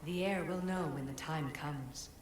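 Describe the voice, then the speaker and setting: Ancient oracle-like spirit, low-set female voice in long reverb, solemn and commanding, formal video game delivery. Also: a little hiss or hum. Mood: solemn, testing. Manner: oracular, measured, formal. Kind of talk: dramatic game cutscene acting. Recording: echoing long reverb, music behind.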